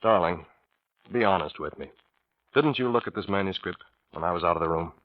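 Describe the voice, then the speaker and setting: A low-voiced, uneasy husband in a vintage radio play. He speaks haltingly, suspicious but apologetic, on a dull old recording. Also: animated, no echo. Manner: hesitant, halting. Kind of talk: 1940s American radio drama acting.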